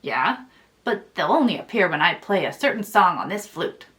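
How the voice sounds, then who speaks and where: A woman's voice: on the low side, very animated, normal speed, a bit bright, voice pushed down. Woman voicing a grumpy, cheeky little male sprite. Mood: cheeky, bargaining.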